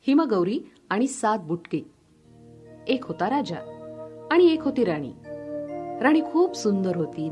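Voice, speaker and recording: Animated female children's storyteller. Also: mid-range voice. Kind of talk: expressive children's story narration.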